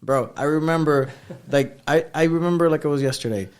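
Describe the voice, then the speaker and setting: Man telling animated stories. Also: a little high, brisk, clear, resonant tone. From Puerto Rico. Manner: animated storyteller, acts out quotes. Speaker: podcast co-host. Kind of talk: casual storytelling.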